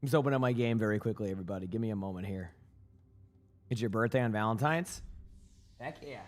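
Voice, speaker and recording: Hoarse male streamer chatting. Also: on the low side, fast talker, a little hiss or hum. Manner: rambling, chatty, many restarts. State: hoarse, losing his voice. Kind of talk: casual chat with a live chat.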